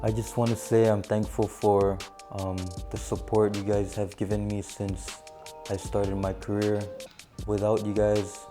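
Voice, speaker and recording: A man working as a barber, speaking earnestly and gratefully in a documentary interview. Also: on the low side. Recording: music playing underneath.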